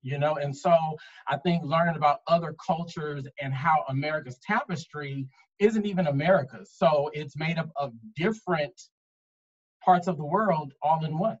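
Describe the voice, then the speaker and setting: A man speaking earnestly as a panelist in a webinar discussion, explaining at length with pauses. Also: a little high, lo-fi sound. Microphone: video call.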